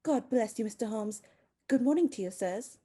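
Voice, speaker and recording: A woman's voice: on the high side, animated, normal speed, warm tone, husky. A woman playing a humble, worried village seamstress in a radio play, pleading and deferential in a folksy way. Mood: anxious, pleading.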